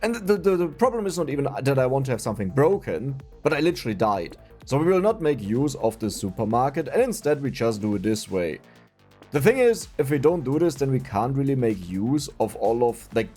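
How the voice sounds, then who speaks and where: A man's voice: medium pitch, dark tone. A young man from Germany with a German accent, chatting casually and laughing at himself while commenting on a video game. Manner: chatty, self-mocking, laughs often. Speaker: gaming YouTuber.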